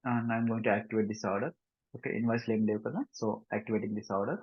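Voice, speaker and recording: A man with an Indian Telugu accent gives a step-by-step software tutorial as a trainer, mixing two languages and often checking that learners follow with short questions. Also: on the low side, steady tone, a little slow, breathy edge. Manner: instructional, repeatedly checking understanding with short questions. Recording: dull, roomy lo-fi screen recording.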